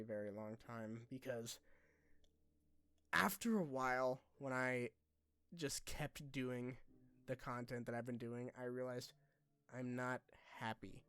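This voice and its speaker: A young man talking casually and unscripted like a YouTuber to followers, candid, self-deprecating and halting, with informal phrasing. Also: medium pitch, fairly bright tone, smooth. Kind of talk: casual unscripted vlog talk.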